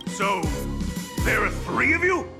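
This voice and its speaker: Brash, high-voiced gang tough. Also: male voice, sing-song, slow, creaky, over music, full character voice. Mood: baffled. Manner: blustering, dramatic. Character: brash male gang underling, hot-headed and honour-bound. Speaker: gang member. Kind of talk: video game voice acting.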